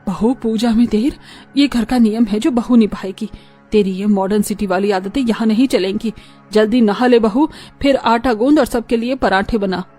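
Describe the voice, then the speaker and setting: A strict, old-fashioned mother-in-law in a cartoon, scolding sternly in a fairly high voice, as a woman voices her. Soft music plays underneath. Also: moderate pace, lightly acted. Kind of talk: cartoon voice acting.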